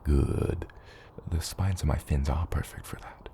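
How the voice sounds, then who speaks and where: A man playing a gentle giant father dragon patiently teaching his hatchling in a hushed, reassuring ASMR roleplay, his voice breathy and gravelly. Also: fairly even intonation, unhurried. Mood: gentle, encouraging. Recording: loud low rumbling noise.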